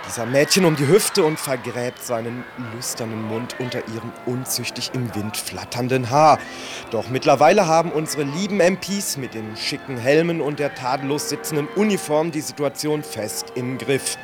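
A man's voice: mid-range voice, natural voice. Pompous, prudish male field reporter played by an actor. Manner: pompous, florid. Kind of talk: mock live radio reportage.

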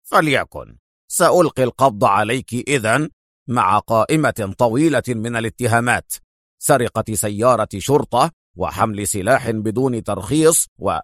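A man's voice: mid-range voice, expressive, normal speed, a bit bright, dry recording, clean studio sound, natural voice. A man voicing a harsh, curt, corrupt police inspector in an audiobook. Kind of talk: audiobook character voice.

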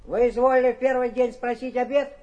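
An actor playing a deferential, servile tavern waiter in a theatrical radio drama. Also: male voice, very high voice, steady tone, fairly quick, a little mellow, highs cut off. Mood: servile, insistent. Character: servile tavern waiter pressing for an unpaid bill.

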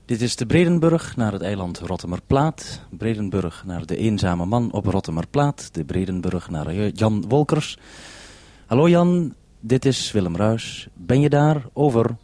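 A man's voice: lowish voice, expressive, a little slow, mellow, no room sound. A man, a radio host giving businesslike instructions over a two-way radio link.

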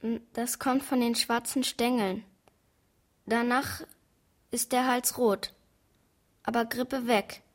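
Eleven-year-old girl from a radio play, innocent and guarded. Her voice is fairly high and she speaks very slowly. Answers come out short and evasive. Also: steady tone. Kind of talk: German radio play acting.

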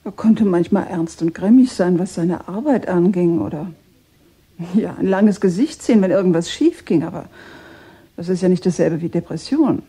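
An actress playing a gracious, composed widowed mother in a German radio drama, reflective and hesitant, with a husky voice. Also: on the low side, expressive, normal speed, mellow. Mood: reflective, insistent.

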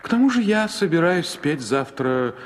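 A man's voice: fairly high pitch, sing-song, moderate pace, slight background noise. A man playing a gallant, self-pleased young male stork in a fairy-tale audio play, flowery and pompously courteous.

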